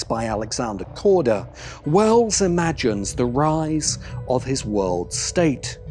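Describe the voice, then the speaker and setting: Male essay narrator, lively varied pitch, deliberate phrasing, dramatic pauses, music underneath. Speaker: host and narrator of video essays. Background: background music.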